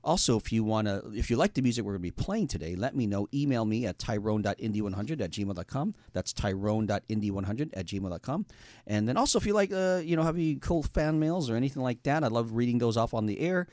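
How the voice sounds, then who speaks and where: A man's voice: mid-range voice, lively intonation, quick pace, darkish tone, no echo. A young adult man hosting a casual radio talk show from a studio, rambling with lots of fillers while reading plugs.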